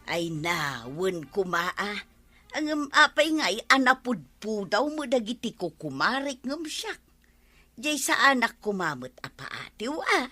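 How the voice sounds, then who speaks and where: Vain scheming ilocano housewife, radio comedy, really lively pitch, dry close sound. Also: female voice, slow, dry recording, heavily acted. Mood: curious. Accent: Filipino, Ilocano. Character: vain, scheming housewife keen on beauty products. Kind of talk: comedy radio drama acting.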